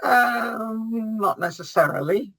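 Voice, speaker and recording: A woman's voice: fairly high pitch, animated, slow, vocal fry, fairly bright tone, slightly rough, dry recording. A woman astrologer answering audience questions over a video call, hesitant, with many ums and restarts. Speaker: guest astrologer.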